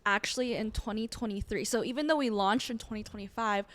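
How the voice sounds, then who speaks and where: A woman's voice: fairly high pitch, quick pace. A young woman co-hosting a podcast, chatting earnestly with frequent fillers. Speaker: podcast co-host. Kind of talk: casual chat.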